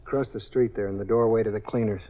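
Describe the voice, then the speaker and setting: A man's voice: lowish voice, fairly even intonation, quick pace, lightly acted. An actor playing a police detective in a 1950s radio drama, reporting plainly and deferentially to his lieutenant. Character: dutiful police detective on stakeout. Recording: muffled 1950s radio broadcast.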